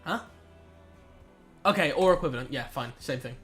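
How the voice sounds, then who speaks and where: A man's voice: mid-range voice, lively intonation, normal speed, fairly bright tone, soft background music. A young man in casual live-stream chat, laid back and chatty, reading out chat and muttering as he works through maths questions. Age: young adult. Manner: laid-back, chatty slang, reads chat aloud and mutters while working.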